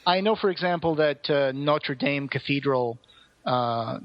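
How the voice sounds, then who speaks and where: A man co-hosting a podcast, casually retelling and discussing a play in a rambling, halting, earnest way. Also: mid-range voice, muffled recording. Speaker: podcast co-host.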